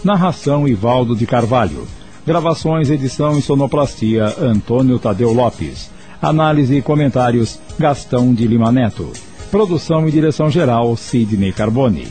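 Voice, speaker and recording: A man's voice: medium pitch, expressive, normal speed, dark tone, faint music behind. A man with a Brazilian accent reading scripted radio credits as a formal announcer, enunciating each item.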